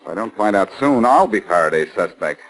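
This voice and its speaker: Amateur sleuth, American accent, low voice, animated melody, wry questioning, 1940s radio drama. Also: male voice, brisk, low-quality recording. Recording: remastered 1940s radio recording.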